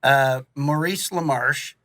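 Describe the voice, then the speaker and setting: A middle-aged American man telling anecdotes in an animated, self-deprecating way and acting out bits of dialogue. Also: mid-range voice, slow, no room sound, studio quality. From Illinois, United States. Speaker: podcast guest, voiceover producer.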